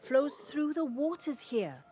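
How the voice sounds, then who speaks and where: A woman's voice: high voice, big pitch swings, slow, dark tone, faint music behind, heavily acted. An actress playing a curious, analytical woman scholar in a fantasy video game, articulate and inquisitive. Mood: curious, earnest. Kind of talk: video game dialogue acting.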